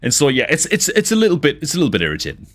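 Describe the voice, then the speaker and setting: A man's voice: fairly high pitch, huge pitch swings, very fast, fairly bright tone. A young man from northeast England, near Newcastle, with a somewhat mixed northeast English accent, chatting loosely with his stream chat and using lots of fillers. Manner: rambling, filler-heavy, talks to chat. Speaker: gaming streamer. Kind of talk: casual livestream chat.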